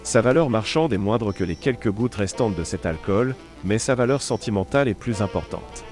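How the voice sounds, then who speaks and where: A man plays a shrewd, cold-blooded wartime barkeeper in a low voice. He talks like a Resistance plotter in a radio play, with music clearly behind him. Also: animated, moderate pace, darkish tone, natural voice. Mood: wry, familiar. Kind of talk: wartime audio drama dialogue.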